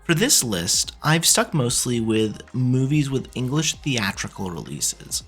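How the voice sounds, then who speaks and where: A man delivers scripted review narration with a lively, swinging intonation. His mid-pitched voice has a husky edge and an opinionated, casual manner, with music playing underneath. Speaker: video reviewer. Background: background music.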